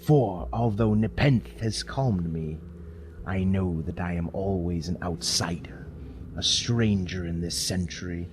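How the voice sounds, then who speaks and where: A man reading a horror tale as narrator, solemn and theatrical, with drawn-out dramatic phrasing. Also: deep voice, a little mellow. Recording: clear background ambience noise.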